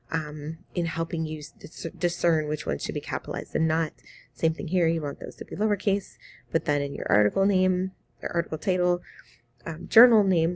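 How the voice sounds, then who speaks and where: Gravelly, low-voiced woman professor with a cold. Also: a little mellow. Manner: encouraging, many "um"s and restarts. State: scratchy voice from a cold. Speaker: professor giving feedback. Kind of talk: recorded feedback on student work.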